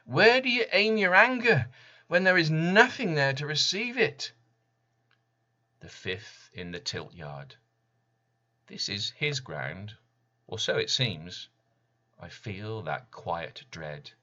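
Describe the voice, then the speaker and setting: A man reading his poem as dramatic spoken word, deliberate and intense, with weighty pauses. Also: medium pitch, sing-song, bright, forceful tone, lo-fi sound. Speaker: poet reading own work.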